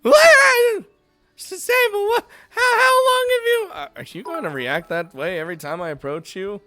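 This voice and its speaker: A man's voice: a little high, lively intonation, fairly quick, a bit bright, dry recording, soft background music, voice pushed up. A man voicing a flustered, irritable young female magic student in a fantasy game, exclaiming and stammering. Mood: startled, flustered.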